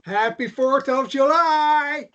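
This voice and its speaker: A man performs a warm-hearted man in a gentle romance drama. His voice sits high for a man, and he speaks with open, slightly halting sincerity. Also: highs cut off, slight background noise, exaggerated voice. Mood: exuberant, indignant. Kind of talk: table read of a romance drama.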